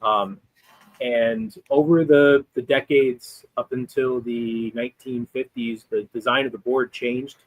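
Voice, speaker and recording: A man's voice: medium pitch, fairly even intonation, unhurried, no echo, narrow, tinny audio. A man appearing as a guest expert, explaining history in a conversational way with frequent ums and restarts. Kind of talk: explaining history in casual chat.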